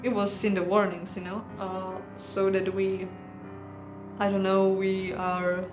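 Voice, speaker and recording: A woman hosting a game playthrough, reading a visual novel aloud in a measured dramatic way with casual, hesitant asides. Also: medium pitch, flat delivery. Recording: roomy echo, music behind.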